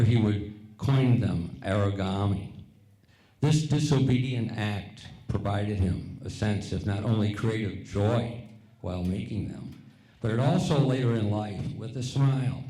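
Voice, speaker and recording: Deep, mellow man in an echoey room. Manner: earnest, deliberate, some stumbles and repeats. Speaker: master printer and panelist. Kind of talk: prepared panel talk. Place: museum event room before an audience.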